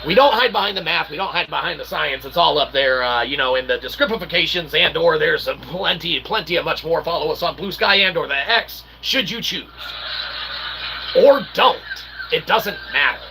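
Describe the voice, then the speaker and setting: A man speaks in a slightly high voice with heavy fry running through it. He rambles like an amateur philosopher, stacking up wordy, mock-formal phrases and punctuating them with little check-in tags. Clear noise sits behind him. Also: moderate pace. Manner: verbose, mock-formal wording with constant "okay?" and "all right?" tags. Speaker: independent researcher and channel host. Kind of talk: rambling philosophical monologue to camera.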